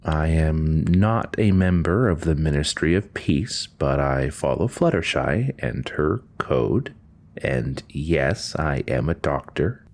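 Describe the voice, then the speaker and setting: A man playing a young unicorn stallion doctor in an audio drama, a soft rhythmic baritone with an awkward, polite, earnest manner. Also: animated, slow, exaggerated voice. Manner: hesitant, earnest.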